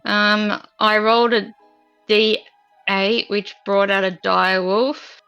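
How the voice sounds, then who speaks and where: Woman, tabletop player, unhurried gravelly delivery, informal; muffled recording with music underneath. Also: medium pitch, steady tone, a bit bright. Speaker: player in a tabletop roleplaying game. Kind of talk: casual game-table chat. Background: background music.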